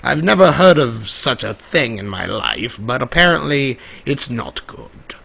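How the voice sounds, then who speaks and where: A man playing a centuries-old male trickster from a pony world, talking to an audience in a theatrical, flamboyant, rambling way with a gravelly, husky voice. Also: medium pitch, very animated, unhurried, bright, forceful tone, heavily acted. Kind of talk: live roleplay monologue to an audience.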